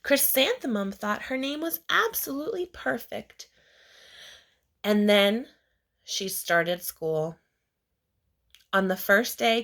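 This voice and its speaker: A woman reading a children's picture book aloud in an expressive storytelling style, with deliberate, emphasized phrasing. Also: mid-range voice, gravelly. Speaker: read-aloud reader.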